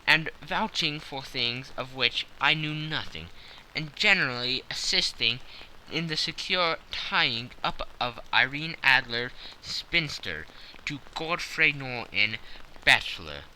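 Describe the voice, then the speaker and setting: A man's voice: medium pitch, expressive, takes it slowly, pushed and bright, slight background noise, rough recording. A man narrating a classic story as a scripted, dramatic audiobook.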